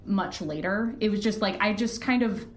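A woman's voice: medium pitch, moderate pace. A woman talking candidly as an interview guest, earnest and emphatic in how she explains things. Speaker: podcast creator, interview guest. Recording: roomy, echoey sound.

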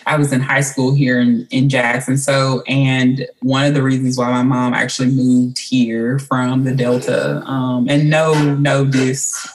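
A woman's voice: very deep voice, level tone, a little slow, slight background noise, low-quality recording. A woman from Mississippi, talking reflectively and casually as a guest in an interview. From Mississippi, United States. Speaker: podcast guest, writer.

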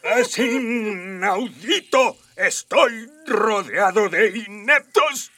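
A man's voice: sits high, extremely slow. A man playing a pompous, greedy old alien emperor in a comic radio drama, imperious and easily exasperated.

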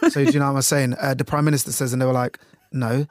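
A Jamaican man in a radio presenter's easy, loose chat, with a dry sense of humour. His voice sits at a middle pitch. The recording is close and dead dry, with no room sound. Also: little pitch movement, fast talker, no room sound. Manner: loose, dry and chatty, with frequent fillers. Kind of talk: relaxed interview chat.

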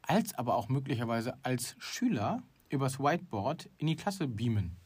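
A man's voice: medium pitch, sing-song, moderate pace, a little mellow, husky. A middle-aged man co-hosting a casual talk show, opinionated and emphatic, with many restarts. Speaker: podcast co-host. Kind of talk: casual chat.

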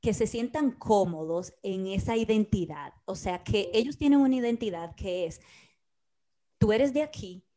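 Dominican woman telling animated stories. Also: mid-range voice, moderate pace. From Dominican Republic. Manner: animated storyteller, acts out quoted dialogue. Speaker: podcast co-host. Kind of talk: casual conversation.

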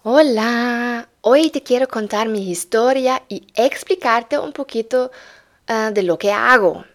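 A woman's voice: on the high side, slow. German woman teaching in learned Spanish. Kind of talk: scripted course introduction.

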